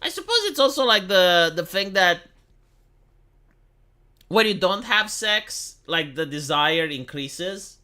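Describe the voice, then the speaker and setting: A man's voice: high voice, expressive, slow, pauses often, pushed and bright. A young man from Romania hosting a casual livestream, chatting with his chat and reading articles aloud, with many restarts and the odd swear. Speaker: livestream host.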